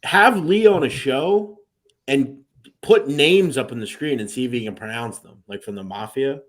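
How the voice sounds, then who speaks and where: A man's voice: mid-range voice, normal speed. A man in casual crosstalk chat, teasing and goading another speaker.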